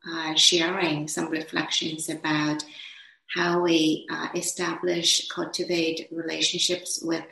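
A woman whose first language is Chinese, teaching a dharma class in a slow, gentle, hesitant way with many fillers. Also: fairly low pitch, roomy sound, low-quality recording. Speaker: meditation teacher.

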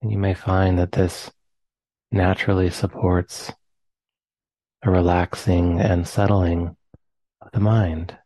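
A man with an American accent, leading a guided meditation in slow, soft phrases with long pauses. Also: deep voice, little pitch movement, no echo, rough recording. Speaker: meditation teacher.

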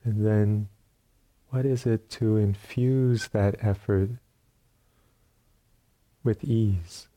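A man leading a guided meditation as a teacher, speaking in short, unhurried phrases with long pauses between them. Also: fairly low pitch, steady tone, warm tone.

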